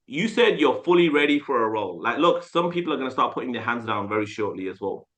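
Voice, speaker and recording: A man running a casual live sales coaching class over a video call, animated, repeating phrases and filling with "like" and "uh". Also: medium pitch, fast. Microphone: video call mic.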